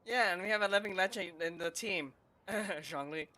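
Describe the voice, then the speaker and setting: A woman's voice: on the low side, moderate pace, pushed and bright. A woman game streamer giving casual live commentary, thinking aloud as she plays. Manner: thinks aloud, reacts to dialogue. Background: game music.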